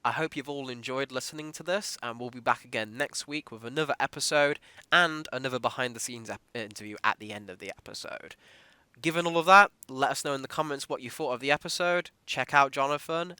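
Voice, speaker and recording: A man's voice: medium pitch, a little fast, bright, forceful tone, clean tone, no room sound. A man hosting a podcast, interviewing a guest in a conversational style. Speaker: podcast host interviewing an actor.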